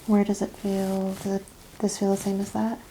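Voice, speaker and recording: Female, soft ASMR examiner, gravelly fry, whispered instructions, audible background noise. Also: medium pitch, completely flat delivery, normal speed, poor audio. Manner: soft-spoken, often dropping to whispers, instructing step by step. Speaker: ASMR performer playing a medical examiner. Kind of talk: ASMR clinical exam roleplay. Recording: clear background noise and ambience.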